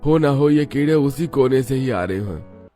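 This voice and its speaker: A man's voice: mid-range voice, lively intonation, normal speed, warm tone, faint music behind, voice pushed up, lightly acted. A man voicing a caring older father-in-law in a cartoon story, speaking with concern and reassurance. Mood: reassuring. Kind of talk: Hindi cartoon story dialogue.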